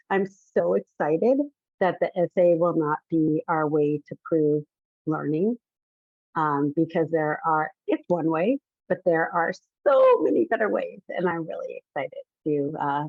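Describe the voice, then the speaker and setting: A woman's voice: low-pitched, gravelly, no room sound. A woman chatting enthusiastically on a video call. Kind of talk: casual remarks on a video call. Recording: tinny phone-like line, dry.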